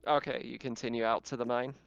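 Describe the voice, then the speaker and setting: Clear-voiced man running a tabletop game. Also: medium pitch, level tone, fast talker, no echo, muffled recording. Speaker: game master. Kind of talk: casual tabletop game narration.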